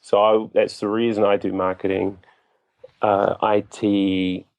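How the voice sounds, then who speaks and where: A man in his thirties with a New Zealand accent, answering interview questions in a halting, reflective, self-deprecating way. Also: fairly low pitch, little pitch movement, vocal fry, a little mellow, dry recording. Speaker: interview guest, business co-founder.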